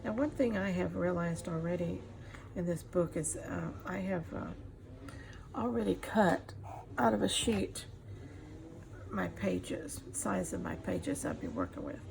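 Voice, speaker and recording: A middle-aged woman giving a casual craft demonstration as she works, pausing often to think. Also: lowish voice, big pitch swings. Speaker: craft tutorial host. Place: indoors at a craft table. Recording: clear background noise, room ambience.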